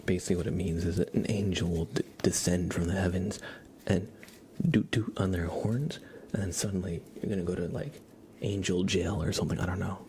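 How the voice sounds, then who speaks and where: A young adult man speaking softly and gently as an ASMR livestreamer, half whispering as he chats casually to viewers. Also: sits low, steady tone, brisk, a little hiss or hum. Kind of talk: soft-spoken ASMR, casual chat with viewers.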